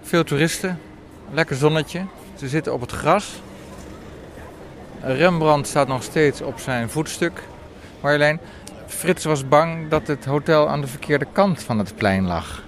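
A man's voice: on the high side, a little slow. A man conducting a conversational interview as the interviewer, recorded on location. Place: on location at an Amsterdam café and square. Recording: noisy on-location recording with city ambience.

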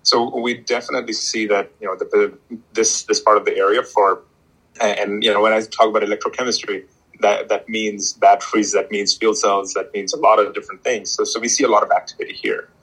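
A man's voice: fairly low pitch, flat delivery, a bit bright, breathy edge, poor audio. A man in his thirties from Pakistan, a guest talking casually with frequent restarts and fillers. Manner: halting, many restarts and fillers. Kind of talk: casual interview chat.

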